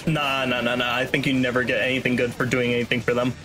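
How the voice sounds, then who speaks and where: A young man doing casual live commentary as a speedrunner, in a halting way that repeats and restarts phrases. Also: medium pitch, little pitch movement, a crowd in the background. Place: indoor room at home, webcam stream. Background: video game music and vehicle-like game sounds.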